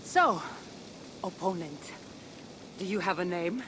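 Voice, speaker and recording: A woman playing an ancient, wandering Greek warrior in a video game, wry, composed and self-assured, with a Greek accent and measured delivery. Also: fairly low pitch, lively intonation. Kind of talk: video game cutscene acting. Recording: game scene with rain and ambient noise.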